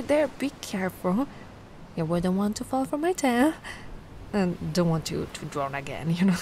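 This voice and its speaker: A cute, anxious giant mermaid acted by a woman in an ASMR roleplay, with faint ambient noise behind her. She is flustered and tender, cooing at someone tiny. Her delivery is unhurried and swings widely in pitch. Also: a little mellow. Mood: soothing, relieved. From Italy.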